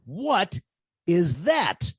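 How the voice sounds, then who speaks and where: An actor playing a weary, sarcastic private detective with a husky voice and an exasperated manner, in a 1940s style radio comedy. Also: male voice, high-pitched, wildly swooping pitch, extremely slow, no echo, clean studio sound, heavily acted. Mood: incredulous.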